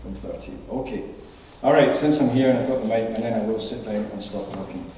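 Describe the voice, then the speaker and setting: A man leads a church service in a very echoey hall, heard from a distance. His voice is mellow and his delivery informal, with a dull sound to the recording. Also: lowish voice, fairly quick, a little hiss or hum. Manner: chatty, off the cuff. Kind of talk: informal church address and scripture reading.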